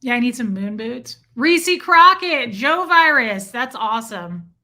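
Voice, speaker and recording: Bright chatty woman reading live chat. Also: a little high, expressive, a little slow. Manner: chatty, reacts to viewer comments. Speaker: livestream host reading chat. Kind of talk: casual livestream chat. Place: home room with a heater.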